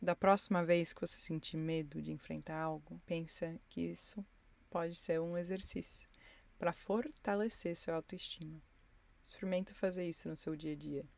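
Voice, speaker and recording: A woman's voice: fairly low pitch, fairly even intonation, normal speed, gravelly, a little mellow. A woman hosting a solo podcast from inside her car, reflective and encouraging as she talks straight to her listeners. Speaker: podcast host. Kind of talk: intimate solo podcast monologue.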